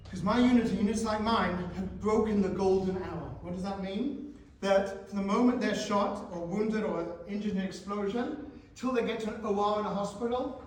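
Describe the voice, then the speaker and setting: A man's voice: sits high, a little hiss or hum. Man lecturing in an echoey school hall. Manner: animated, asks the audience questions. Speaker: guest speaker. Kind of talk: school talk to teenagers. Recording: echoey hall, speaker far from mic.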